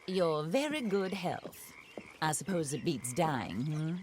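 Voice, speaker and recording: An actress playing a vengeful genteel widow who speaks in a measured, formal and icy manner. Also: female voice, sits low, very animated, a little mellow, slight background noise, full character voice. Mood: cold.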